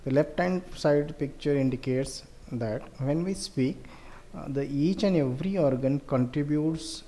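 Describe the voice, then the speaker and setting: A man with an Indian accent presenting a research project in an explanatory way, with halting, deliberate phrasing. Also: medium pitch, mellow.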